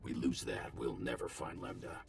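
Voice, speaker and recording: A stern, loyal mercenary veteran in a game cutscene. The voice is extremely low and heavy with fry, clipped like a field report, and set a little away from the mic. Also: male voice, animated, normal speed, distant mic, background noise, exaggerated voice. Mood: grim, businesslike. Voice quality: very gravelly. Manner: terse, clipped, military reporting. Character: stern, loyal male ex-mercenary security officer. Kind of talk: video game cutscene acting.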